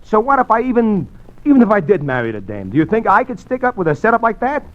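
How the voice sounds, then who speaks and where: Rough hustler on muffled old radio. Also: male voice, high-pitched, huge pitch swings, quick pace, a bit bright, full character voice. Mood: tough, skeptical. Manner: slangy, tough-guy talk. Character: rough, uneducated young male hustler telling his crime story. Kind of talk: 1940s radio acting and first-person narration. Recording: dull old radio recording with music.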